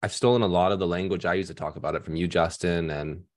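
A man's voice: low voice, fairly even intonation, fast. A man in his thirties, a financial advisor on a video call panel, talking casually with many fillers and restarts. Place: home room at night. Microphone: headset on video call.

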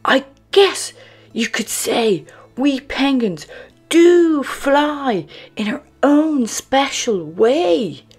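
A woman voicing an eager young penguin boy who longs to fly, in an expressive children's storybook read-aloud. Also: sits high, very slow, slightly rough, voice pushed up, exaggerated voice. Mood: excited, proud.

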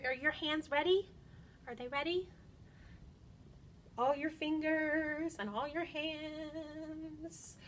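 A woman's voice: high voice, slight background noise. A woman in her thirties speaking to young children as a storytime host, in an encouraging, child-directed way, recorded indoors on a camera mic. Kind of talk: singing songs and reading aloud to young children. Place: indoor room by large windows.

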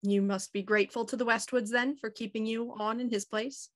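A woman playing a cool, dryly skeptical police inspector in a radio mystery, asking measured, probing questions. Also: on the high side, steady tone, lightly acted. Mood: businesslike. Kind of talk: radio mystery acting, live online table read.

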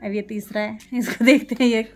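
A woman's voice: fairly high pitch, fairly even intonation, brisk, a little mellow, echoey room. A woman, chatting casually and repetitively as she vlogs at home on a phone camera. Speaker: vlogger. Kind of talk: casual family vlog chat. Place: living room at home. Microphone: handheld phone camera. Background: background music.

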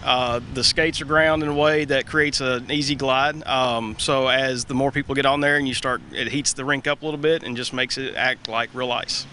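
A man's voice: medium pitch, steady tone, normal speed, few pauses, pushed and bright, a little hiss or hum. A man from a parks department, explaining plainly with "uh" fillers in an outdoor interview at a rink. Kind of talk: live TV interview. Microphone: reporter's handheld mic.

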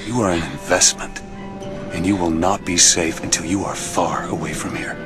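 An actor playing a tough, blunt, impatient gun-for-hire with a terse, hard-bitten manner. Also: male voice, sits low, fairly even intonation, moderate pace, music in the background, lightly acted. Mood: blunt, stern.

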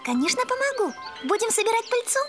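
A woman's voice: very high voice, very animated, quick pace, music in the background. A woman voicing a sweet, curious little creature boy, naive and earnest, in a children's cartoon. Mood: friendly. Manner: naive, gentle, earnest questioning.

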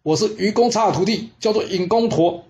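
A man's voice: high voice, brisk, a little mellow, pitched above the performer's own voice, lightly acted. Man acting brash, defiant archer apprentice. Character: defiant, proud male archer apprentice in pursuit.

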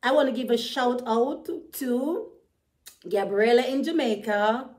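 Woman, children's storyteller, high voice, very unhurried read-aloud narration. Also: darkish tone.

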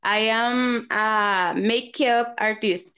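A woman's voice: on the high side, extremely slow, sharp tone, smooth. A woman guest on a video call who works as a makeup artist, talking in a gushing, affectionate way over dull, lo-fi call audio. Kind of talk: casual live stream chat.

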